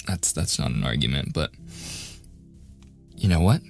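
A man's voice: rumbling low, expressive, unhurried, gravelly, soft background music, voice pushed down, full character voice. A man playing a cocky young student bully who sneers and gets flustered, in a boyfriend ASMR audio roleplay. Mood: smug, mocking. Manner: sarcastic, taunting, hesitant stammering under pressure.

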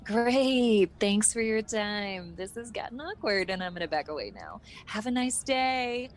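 A woman's voice: mid-range voice, big pitch swings, a little slow, soft background music. A woman playing a chirpy, eager young red carpet reporter in a comedic radio sketch, polite and gushing. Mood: awkward, polite.

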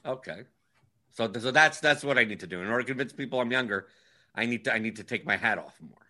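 A man's voice: mid-range voice, quick pace, pushed and bright. A man in his forties hosting a casual livestream chat, wry and grumbling, often repeating himself. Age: 40s. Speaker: livestream host.

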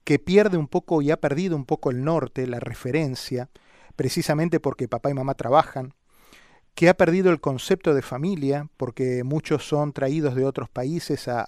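A man's voice: medium pitch, moderate pace, darkish tone, no room sound, clean studio sound. A man hosting a radio interview, musing his way through long winding questions full of hesitations. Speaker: radio host. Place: radio studio.

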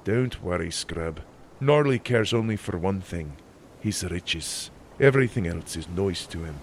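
A man voicing a stern, sardonic older sailor with a deep accented voice and a curt, scolding manner in a fantasy audiobook. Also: animated, a little slow, a little hiss or hum. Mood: dry, curt. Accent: deep put-on accent. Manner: curt, chiding, dry.

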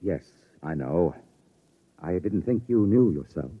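An actor playing a grave, commanding space captain in a classic radio drama, solemn and deliberate. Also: male voice, sits low, expressive, slightly rough, exaggerated voice. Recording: muffled, hissy old radio broadcast recording.

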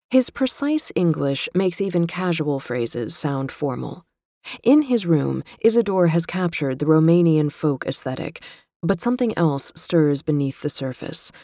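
Woman narrator, low and mellow, measured literary read, dry close mic. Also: no echo. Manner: measured, even, literary delivery. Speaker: narrator reading a magazine feature. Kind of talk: scripted narration.

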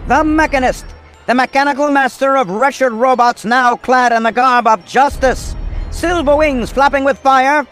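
An actor playing a grandiose, melodramatic narrator of an old-time pulp hero radio serial. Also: male voice, extremely high pitch, moderate pace, a bit bright, faint music behind. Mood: grand. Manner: dramatic, grandiose.

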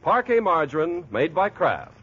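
A man announcing in the style of 1940s radio advertising, with a husky voice. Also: fairly high pitch, very animated, normal speed. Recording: muffled old radio recording.

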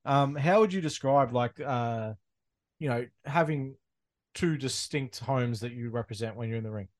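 Male host, mid-pitch, halting casual interview questions with restarts. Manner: hesitant, with many restarts and "um"s.

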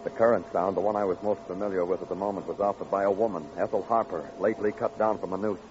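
A man's voice: sits low, steady tone, quick pace, background noise, lightly acted. A man playing a hardboiled, world-weary police detective and narrator in a 1950s radio crime drama, wry and brooding with clipped storytelling. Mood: cynical, brooding. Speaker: narrator and lead actor. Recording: muffled vintage radio recording with music.

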